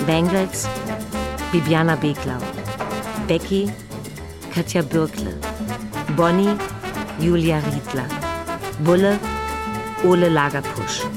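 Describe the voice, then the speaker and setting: Very slow female announcer over loud music. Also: deep voice. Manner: measured, list-reading. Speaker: credits announcer. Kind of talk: scripted announcement. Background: loud brassy swing music.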